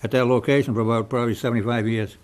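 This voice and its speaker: A man's voice: medium pitch, fairly even intonation, racing. A man speaking as a selectman at a town meeting, chatty and folksy. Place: town hall meeting room.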